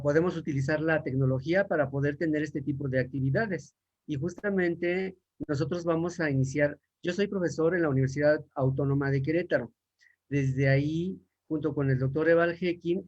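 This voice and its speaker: A man from Mexico, a university professor, answering questions in an online talk with frequent fillers and restarts. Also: a little high. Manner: hesitant, many fillers and restarts. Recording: dull lo-fi sound.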